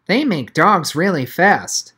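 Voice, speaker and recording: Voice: medium pitch, very animated, slow, sharp tone, husky, voice pushed up, exaggerated voice. A reader voicing a geeky, earnest middle-school genius boy with an inquisitive manner in an audiobook story. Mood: matter-of-fact, curious.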